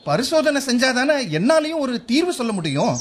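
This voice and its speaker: Man acting a lively-toned, placating scientist. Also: very high-pitched, fairly quick, exaggerated voice. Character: calm, conciliatory male government scientist friend. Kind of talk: scripted drama dialogue.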